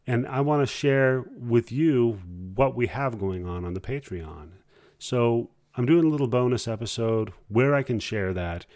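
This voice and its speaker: A man hosting a podcast, talking informally and haltingly in a casual solo style. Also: mid-range voice, darkish tone, dry recording, studio quality. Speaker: podcast host.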